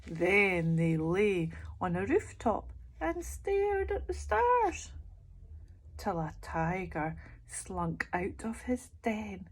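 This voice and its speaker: A woman reading a children's picture book aloud as a bedtime storyteller, expressive and sing-song, putting questions to young listeners. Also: mid-range voice, extremely slow, husky.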